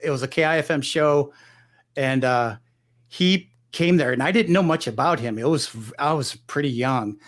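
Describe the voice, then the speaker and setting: A man's voice: fairly high pitch, normal speed, a bit bright. Rambling middle-aged man from Michigan. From Michigan, United States. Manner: loose and rambling, many restarts and "you know". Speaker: guest guitarist. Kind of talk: relaxed conversational interview.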